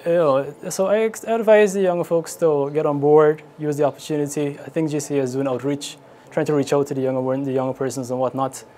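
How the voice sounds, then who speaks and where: A man's voice: a little high, steady tone, fast, warm tone. A man with a Guyanese accent giving informal interview answers as an air traffic controller. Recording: clearly noisy location interview.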